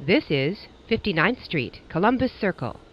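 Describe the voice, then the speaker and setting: A man's voice: sits high, very animated, normal speed, dull audio. A man reading scripted transit announcements as a train announcer, in formal, segmented phrasing.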